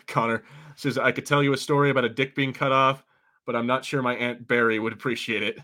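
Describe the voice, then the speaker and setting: A man's voice: mid-range voice, fairly even intonation, a little fast, pushed and bright. A man co-hosting a casual podcast, chatting loosely, laughing often and reading out live chat comments. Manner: laid-back, laughing, puts on quoted voices. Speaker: podcast co-host.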